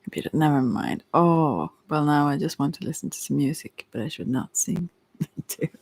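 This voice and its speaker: A woman speaking softly, at times in a whisper, in a relaxed, meandering ASMR tarot ramble with hesitant asides. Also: sits low, moderate pace, gravelly, dry recording. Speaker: host, tarot reader. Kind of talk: soft-spoken rambling ASMR tarot chat.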